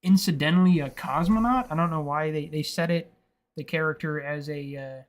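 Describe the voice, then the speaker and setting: A man's voice: a little high, normal speed. A young man co-hosting a casual film podcast, rambling and swearing freely, laughing often. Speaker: podcast co-host.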